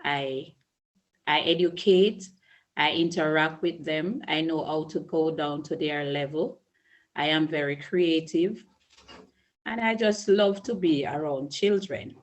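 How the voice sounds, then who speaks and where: A middle-aged Jamaican woman, a school principal, answering interview questions in a measured, earnest way with small restarts. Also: low voice. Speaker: early childhood school principal.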